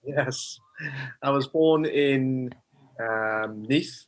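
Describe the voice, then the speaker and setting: Welsh man, middle-aged, mid-pitched voice, hesitant, plenty of fillers, interview guest. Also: very slow, rough recording. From Wales. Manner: hesitant, thoughtful, with many fillers. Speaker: guest, mind coach. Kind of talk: conversational interview.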